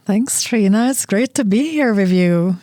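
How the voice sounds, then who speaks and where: A middle-aged woman from the Czech Republic, a research guest answering interview questions in a thoughtful, measured way. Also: mid-range voice, expressive, dark tone, dry recording, clean studio sound. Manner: thoughtful, measured, with frequent "you know" fillers.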